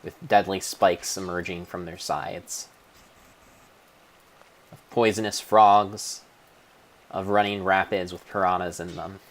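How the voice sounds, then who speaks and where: Low-voiced man narrating in grand storybook style. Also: level tone. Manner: grandiose, theatrical, deliberate phrasing. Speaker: streamer narrating own gameplay. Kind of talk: mock-dramatic storybook narration.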